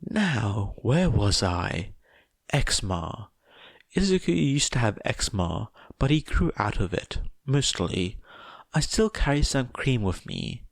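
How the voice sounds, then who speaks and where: A man's voice: mid-range voice, very animated, slow, vocal fry, no room sound, pitched above the performer's own voice, heavily acted. A man voicing a middle-aged mother who is polite, fussing and sweetly menacing, in an audiobook-style story reading. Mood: motherly, cheerful.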